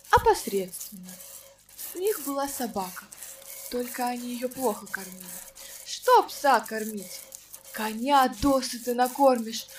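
A woman's voice: fairly high pitch, distant mic, a little hiss or hum. Woman telling a folk tale. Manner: measured folksy storytelling. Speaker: storyteller. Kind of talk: fairy tale narration.

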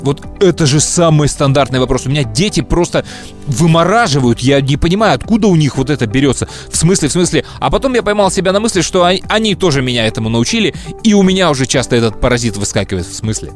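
A man's voice: a little high, animated, quick pace. Emphatic man chatting over faint music. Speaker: podcast co-host. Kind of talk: casual chat.

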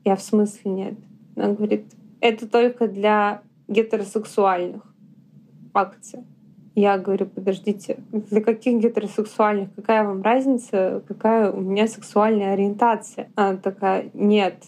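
A woman from Russia, a podcast guest, telling personal stories candidly in a lively way and acting out the dialogue. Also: medium pitch, moderate pace. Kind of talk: casual storytelling.

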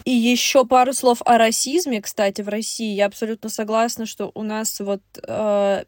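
A woman from Russia co-hosting a podcast, talking casually in quick asides with lots of fillers. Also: a little high, fairly even intonation, dry recording. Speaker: podcast co-host.

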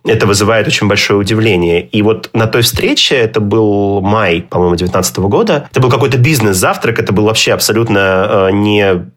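A man who teaches Swedish, talking through his experiences as a podcast guest, reflective, pausing and restarting with many fillers. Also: lowish voice. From Russia. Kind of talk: casual interview chat.

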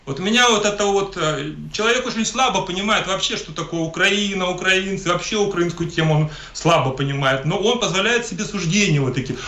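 A man's voice: high voice, brisk, hardly any pauses, pushed and bright. A man from Ukraine, an interview guest giving emphatic, polemical political commentary and often repeating himself. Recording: echoey room.

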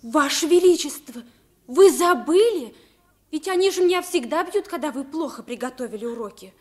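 Timid, very high-voiced servant boy. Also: animated, moderate pace, darkish tone. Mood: earnest. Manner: pleading, earnest. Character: timid, humble young servant boy, eager to please. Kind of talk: 1950s Russian radio play acting.

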